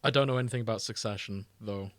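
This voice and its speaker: A man's voice: on the low side, quick pace, no echo. A man co-hosting a casual comedic podcast, with a wry, bantering and sometimes sweary manner. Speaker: podcast co-host.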